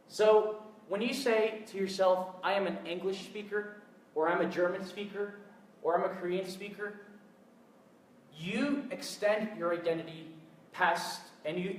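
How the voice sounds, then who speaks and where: Young male speaker, native Spanish, earnest and halting with fillers, echoey room, faint ambient noise. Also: on the high side. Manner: earnest, hesitant with frequent fillers. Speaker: event speaker. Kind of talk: public talk to an audience. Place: event room with live audience. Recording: echoey room, mic at a distance.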